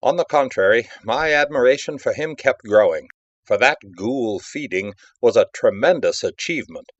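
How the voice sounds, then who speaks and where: A man's voice: medium pitch, expressive, moderate pace, dry recording, muffled recording, close to an everyday voice. A man playing a nervy, confiding art devotee who tells a horror story in an emphatic, conversational, theatrical way. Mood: uneasy, confiding. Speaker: audiobook narrator. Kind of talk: dramatic first-person story reading.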